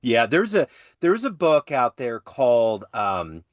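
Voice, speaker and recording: A man's voice: medium pitch, big pitch swings, slow pace, dry recording, studio quality. A man hosting an interview podcast, asking questions over a call and reacting with enthusiastic affirmations. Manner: effusive, frequent yeah affirmations. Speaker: podcast host. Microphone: video call.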